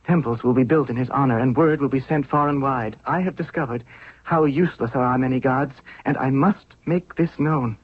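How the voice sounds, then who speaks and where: A man's voice: medium pitch, fairly quick, breathy edge, dry recording, lightly acted. A man playing an idealistic, fervent young pharaoh in a 1970s radio drama, speaking in an earnest, declamatory way. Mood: fervent resolve. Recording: tinny narrow-band old radio recording.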